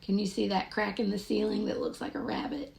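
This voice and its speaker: A woman reading a picture book aloud to children in an expressive, deliberate way, pausing to ask her young listeners questions. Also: medium pitch, vocal fry, noisy background.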